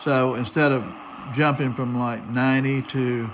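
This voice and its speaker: A man's voice: medium pitch, fairly even intonation, unhurried, a bit bright. A man giving a casual, unscripted hands-on radio tutorial, narrating each step as he goes. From United States. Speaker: radio hobbyist demonstrating a receiver. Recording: noisy, shortwave radio static behind. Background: radio static and noisy broadcast.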